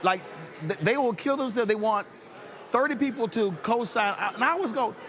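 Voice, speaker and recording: A man's voice: extremely high pitch, a little fast, fairly bright tone, a little hiss or hum. A man in his fifties hosting a conversational interview, speaking emphatically and repeating words as he builds a point. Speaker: talk show host. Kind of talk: conversational interview with personal anecdotes.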